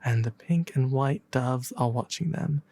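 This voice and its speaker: Man as kindly little storybook swallow, wistful, earnest, mellow husky murmur, creaky edges. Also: mid-range voice, measured pace, no echo. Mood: wistful farewell.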